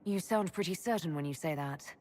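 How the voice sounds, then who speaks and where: A woman as a serious, lowish-voiced game heroine. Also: brisk, darkish tone, lightly acted. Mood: questioning. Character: concerned, questioning heroine and leader. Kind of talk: video game dialogue acting.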